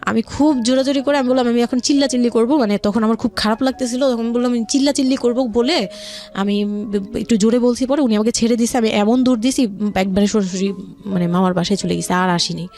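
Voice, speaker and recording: A Bangladeshi woman telling her life story as a radio interview guest, speaking in a halting, confiding way with repeated words. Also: fairly high pitch, steady tone, a little mellow. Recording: music under the voice. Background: background music.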